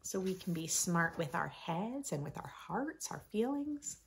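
A woman in her thirties reading aloud expressively for young children, with playful emphasis and deliberate phrasing. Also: on the low side, big pitch swings, vocal fry, a little hiss or hum. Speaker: host of a children's read-aloud channel. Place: small furnished room indoors.

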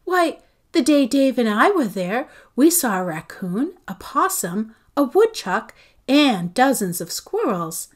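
A cheerful, dreamy mother who keeps selling country life to her kids with bright enthusiasm, as a woman reads her part. The voice sits a little high and swings freely up and down. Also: very animated, slow pace, full character voice. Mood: coaxing.

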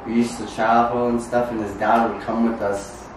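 A boxer chatting in a laid-back, teasing way at a kitchen table, telling stories with many fillers, heard from across the room. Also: male voice, mid-range voice, flat delivery, moderate pace. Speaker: boxer guest. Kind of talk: casual podcast chat. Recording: echoey room from a distance with noise.

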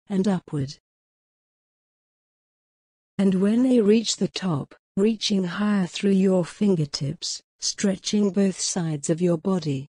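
A woman guiding a mindfulness meditation in a calm, gentle, unhurried way of instructing. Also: fairly low pitch, no room sound, studio quality. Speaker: meditation guide. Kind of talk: guided mindfulness meditation narration.

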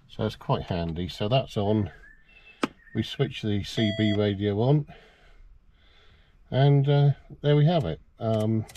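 Middle-aged male presenter, dark relaxed tone, informal demonstrating delivery, light background noise and clicks. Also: on the low side. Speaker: presenter of a car DIY video. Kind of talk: casual how-to demonstration. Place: outdoors in a yard and inside a parked car.

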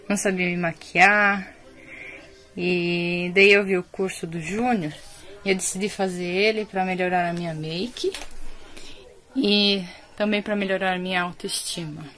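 Woman in her twenties, mid-pitched, plain and direct, phone at arm's length. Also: steady tone, measured pace, a bit bright. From Pomerode, southern Brazil. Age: 20s. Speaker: course student giving a testimonial. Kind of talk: selfie testimonial.

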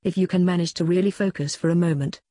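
A text-to-speech female voice playing a sardonic disembodied computer moderator, deadpan in scripted comedy dialogue. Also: lowish voice, quick pace, no echo, studio quality. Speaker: moderator and sidekick.

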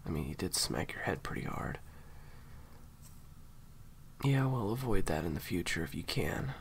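Low, gravelly, caring young handler played by a man. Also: sing-song, a little hiss or hum, heavily acted. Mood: soothing, hesitant. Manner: hesitant, halting, soft-spoken. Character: caring, awkward male handler tending an injured partner. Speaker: voice actor. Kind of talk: intimate audio roleplay acting addressed to the listener.

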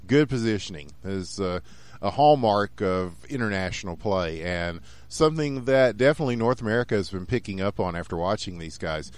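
A man with a Texan accent doing play-by-play commentary of a video game hockey match, getting excited and shouting on goals. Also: fairly low pitch, fairly quick. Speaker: esports caster.

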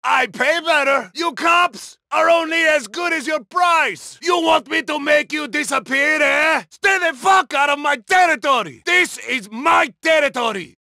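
An actor playing a rich, menacing, foul-mouthed crime boss in a video game, forceful and bullying. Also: male voice, very high voice, a little slow, gravelly, few pauses, no room sound, studio quality, full character voice. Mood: furious, commanding. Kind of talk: video game combat barks.

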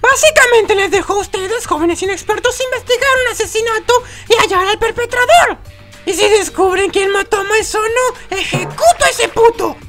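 A man's voice: very high-pitched, normal speed, bright, forceful tone, faint music behind. A man voicing a gleeful, sadistic male bear mascot in a comedy fan dub, mocking and giggling.

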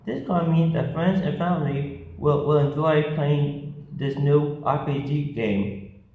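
Halting man in a very echoey room. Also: on the high side. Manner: halting, repeats words. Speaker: vlogger giving tarot readings. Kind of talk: casual to-camera tarot reading. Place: small bare-walled room, indoors. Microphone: phone at arm's length. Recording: very echoey room, dull lo-fi sound.

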